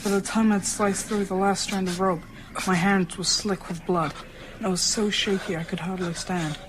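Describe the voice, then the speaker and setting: A woman's voice: medium pitch, steady tone, normal speed, background noise, natural voice. A woman playing a frightened, defiant young boy who narrates and acts in a tense radio drama. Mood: tense, fearful. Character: frightened but defiant young boy telling his ordeal.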